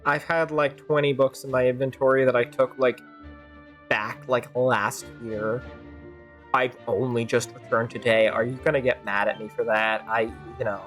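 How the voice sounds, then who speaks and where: A woman's voice: rumbling low, flat delivery, normal speed, soft background music. A woman streaming a game with improvised in-character banter, dry and sarcastic.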